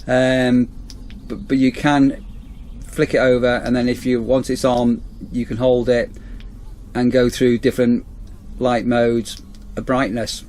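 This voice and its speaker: A man's voice: mid-range voice, little pitch movement, a little slow, a little mellow, slight background noise. A man in a campsite warden role, chatting casually outdoors as he reviews a torch on an action camera. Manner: chatty, rambling, repeats "as I say". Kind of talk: casual product review vlog.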